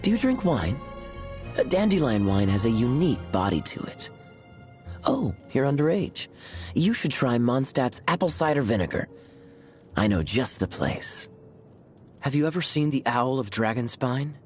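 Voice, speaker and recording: A man acts as a young, suave cavalry captain from a fantasy video game. He speaks in a smooth, teasing drawl at a middle pitch, with wide, theatrical swings. Faint music plays behind him. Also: sing-song, moderate pace, long pauses, close to an everyday voice. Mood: sly, intrigued. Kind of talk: video game character voice lines. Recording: studio voice over background music.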